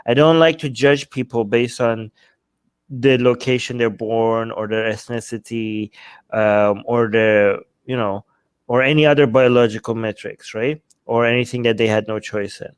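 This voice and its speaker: A man's voice: mid-range voice, fairly even intonation, moderate pace, clear, resonant tone, no echo. A man co-hosting a live discussion, giving opinions emphatically, repeating himself and checking for agreement. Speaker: talk show co-host.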